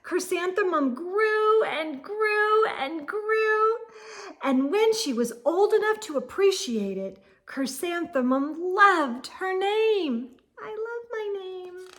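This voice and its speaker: A woman reads a picture book aloud for children. Her voice is high, and her pace is very slow and deliberate. She delivers the story theatrically, lingering on key words. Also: lively intonation, fairly bright tone. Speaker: storytime reader.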